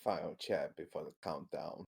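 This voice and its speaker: A man's voice: extremely low pitch, normal speed, creaky, slightly rough. A man playing a tabletop roleplaying game, speaking casually with the other players.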